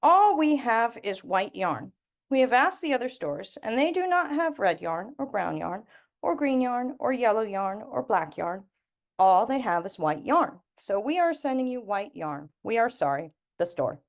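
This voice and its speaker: A woman voicing an apologetic store's formal letter in a storybook, in a measured, list-like manner. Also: fairly high pitch, very animated. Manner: measured, listing, repetitive.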